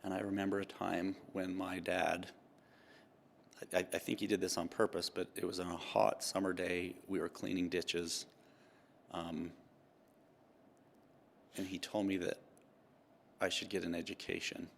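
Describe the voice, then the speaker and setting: A man in his fifties from Utah, a tax partner answering interview questions with dry, self-deprecating humor and many pauses. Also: sits low, little pitch movement, vocal fry, slight background noise.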